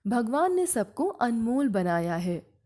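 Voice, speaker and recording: Woman as patient storybook sage, mellow and slow, teaching gently. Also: fairly high pitch, very animated, heavily acted. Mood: wise, explaining.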